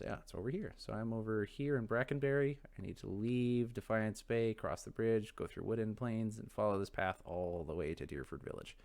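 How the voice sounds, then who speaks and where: A man with a lowish voice hosts a casual let's play. He muses out loud and talks to himself, wandering from one thought to the next in loose game commentary. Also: creaky. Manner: rambling, musing, talks to himself.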